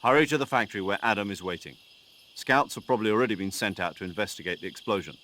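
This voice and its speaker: A man's voice: fairly low pitch, fast, bright, forceful tone. An actor playing a male mission commander briefing an agent over the radio, firm and urgent.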